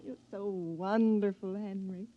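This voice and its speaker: An actress playing a clinging, adoring, anxious wife in an old-time radio drama, cooing and pleading. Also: female voice, medium pitch, steady tone, a little slow, lightly acted. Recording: dull old radio recording.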